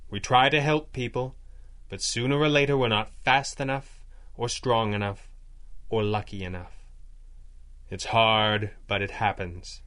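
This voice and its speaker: A man playing a confident, wry masked vigilante boss in a 1930s-style radio drama, measured and articulate. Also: on the low side, steady tone, a bit bright. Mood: wry, reassuring.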